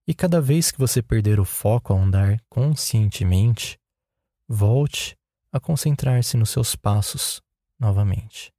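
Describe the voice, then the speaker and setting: A man with a Brazilian Portuguese accent leading a guided meditation in a calm, unhurried, instructional way. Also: fairly low pitch, no echo, clean studio sound.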